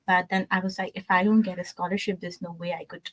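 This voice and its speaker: A woman's voice: lowish voice, flat delivery, fast talker, rough recording. Pakistani woman, hesitant, lots of "uh"s. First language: not English. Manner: soft-spoken with frequent "uh" hesitations. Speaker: guest. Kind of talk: casual interview.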